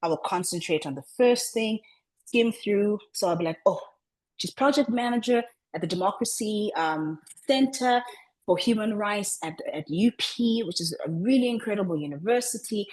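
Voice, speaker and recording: A woman coaching public speaking over a video call, talking in a deliberately lowered register and often repeating words as she restarts. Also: lively intonation, a little mellow. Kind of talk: online coaching session.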